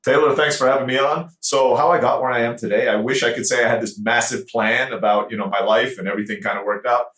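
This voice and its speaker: A man talks quickly and animatedly, recounting his business story as a podcast guest. His mid-pitched voice carries a noticeable echo from the room around him, and his delivery rushes ahead with lively emphasis. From born in the Philippines, grew up in Turkey. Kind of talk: casual interview talk. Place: home office in Mexico. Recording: echoey room.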